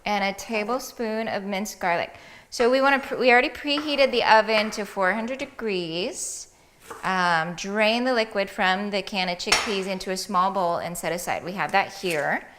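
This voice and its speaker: A woman's voice: mid-range voice, moderate pace, noisy background. A woman hosting a cooking segment, upbeat and laughing often as she walks through a recipe. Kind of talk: casual cooking-show chat.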